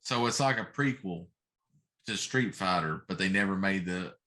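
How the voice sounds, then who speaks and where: Low-voiced man, emphatic talk show co-host. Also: normal speed, pushed and bright. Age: middle-aged. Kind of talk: casual opinionated chat about pop culture.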